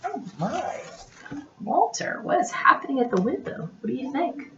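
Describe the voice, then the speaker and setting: A woman reading a children's picture book aloud in a theatrical, expressive, playful way. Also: sits high, normal speed, a little hiss or hum. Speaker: read-aloud storyteller. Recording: roomy, dull lo-fi, distant mic.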